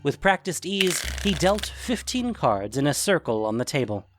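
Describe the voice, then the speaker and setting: A man's voice: on the high side, sing-song, normal speed, over music. A man reading scripted fantasy fiction as a dramatic narrator.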